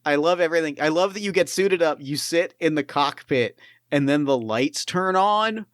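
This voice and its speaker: Male game master, smooth resonant voice, dry close sound, excitable and profane. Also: on the high side, moderate pace, dry recording. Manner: enthusiastic, profane, stumbles over restarts. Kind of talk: actual-play tabletop narration.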